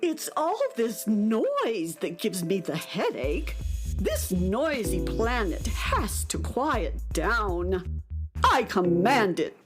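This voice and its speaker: A woman playing a bad-tempered, stubborn alien queen who commands quiet in a children's audio drama. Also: mid-range voice, big pitch swings, slow pace, soft background music. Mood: irritated, commanding. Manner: imperious, shushing, commanding.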